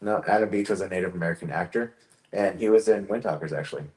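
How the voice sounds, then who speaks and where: Low-voiced man in an echoey room. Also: fast talker, darkish tone. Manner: laughs often, shy-sounding storyteller. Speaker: actor. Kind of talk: casual chat.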